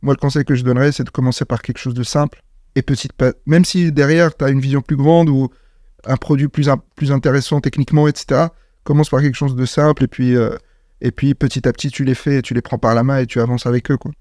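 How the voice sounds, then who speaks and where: A man's voice: medium pitch, fairly even intonation, fast talker, a little mellow, dry recording, studio quality. A man from Morocco, a startup founder, speaking in a casual interview, hesitant with many fillers and restarts.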